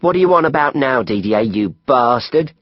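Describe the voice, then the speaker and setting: Audiobook part: male reader as teasing, sassy South London woman, husky, lively pitch, companionable cheek. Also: no room sound, dull audio, close to an everyday voice. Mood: mocking, companionable. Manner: cheeky, familiar, drawn-out gossipy teasing. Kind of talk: audiobook character acting.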